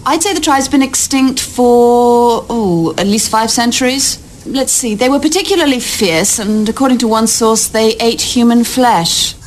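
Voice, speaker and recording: A woman's voice: on the high side, a little slow, natural voice. Matter-of-fact actress as a woman scientist, slightly noisy film audio. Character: matter-of-fact woman forensic pathologist explaining an artifact. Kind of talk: film dialogue. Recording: film clip with audible background noise.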